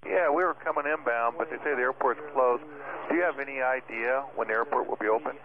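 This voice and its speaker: A man's voice: medium pitch, fast. A man, a pilot, talking informally with the tower over aviation radio. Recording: tinny cockpit radio with clear static, engine noise.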